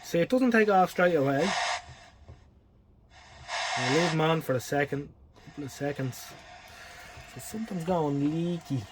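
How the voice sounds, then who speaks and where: Irish man, higher-pitched voice, tinkering aloud. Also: lively intonation, measured pace, pauses often. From Ireland. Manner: wry, self-deprecating, muttering to himself. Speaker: electronics repair hobbyist presenting. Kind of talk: casual hands-on repair commentary. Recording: clearly noisy home video recording.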